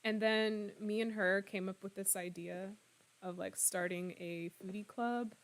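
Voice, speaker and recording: A woman in her twenties, slightly hoarse, chatting casually and laughing often as she streams a game and answers her viewers. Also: medium pitch, steady tone, a little slow. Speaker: streamer playing a horror game. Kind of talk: casual live-stream chat, reading out viewer comments.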